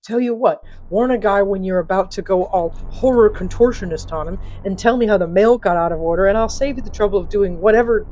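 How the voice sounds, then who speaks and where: A woman's voice: mid-range voice, fairly quick, hardly any pauses, dark tone, muffled recording, a little hiss or hum, natural voice. A woman voicing a sarcastic, cheeky male mail carrier in a comic audio drama. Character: flippant, startled, sarcastic young man mail carrier. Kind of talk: audio fiction character acting.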